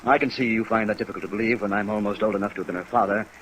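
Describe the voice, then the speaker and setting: A man's voice: fairly low pitch, racing, lightly acted, low-quality recording. An actor playing an earnest, dignified older American man jilted by his fiancée, speaking formally in 1950s radio drama style. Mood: defensive, earnest. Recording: 1950s radio recording with rain noise. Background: steady rain sounds.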